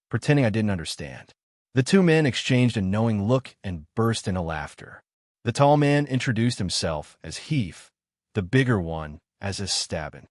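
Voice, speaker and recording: A man narrates a horror tale in short, suspenseful bursts, his lowish voice rising and falling sharply from phrase to phrase. The sound is close and dry, with no room around it. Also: dry recording. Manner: halting, suspenseful delivery in short broken phrases. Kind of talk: dramatic first-person story narration.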